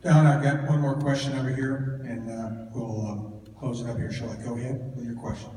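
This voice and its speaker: A man moderating a forum in a church hall, moving the event along briskly as he makes closing announcements. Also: medium pitch, level tone, warm tone, slight background noise. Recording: echoey hall from far off with faint crowd.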